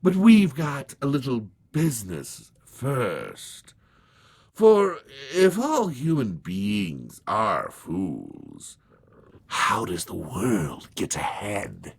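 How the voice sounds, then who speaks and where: A man plays an otherworldly scissors grinder from a storybook, testy and businesslike. He speaks very slowly and officiously, drawing words out with broad swings in pitch. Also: wildly swooping pitch, vocal fry, husky, full character voice. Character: testy supernatural scissors grinder, male, businesslike death figure. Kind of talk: dramatized storybook reading.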